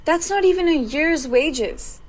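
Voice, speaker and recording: A woman's voice: high voice, animated, measured pace. A young woman acting a righteous, resolute social reformer in a student radio drama. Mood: indignant. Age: young adult. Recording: faintly noisy home recording.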